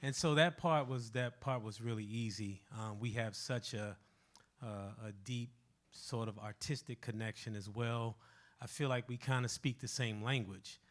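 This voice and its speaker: A man's voice: mid-range voice, moderate pace. A man, a musical director, talking casually and without a script about his work, in an informal, direct way. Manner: informal, conversational, addresses listeners directly.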